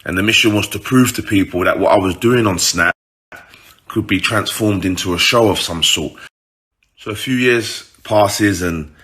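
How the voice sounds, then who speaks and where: Deep, bright-voiced British urban man. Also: normal speed. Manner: informal, slangy, sweary. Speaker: online comedian telling his story. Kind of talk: casual storytelling to followers.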